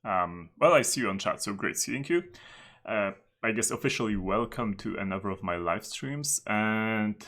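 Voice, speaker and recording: Deep-voiced man, recovering from a cold. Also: gravelly. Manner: relaxed and chatty, with frequent filler words. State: recovering from a cold, voice partly lost. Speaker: livestream host. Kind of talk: casual livestream chat.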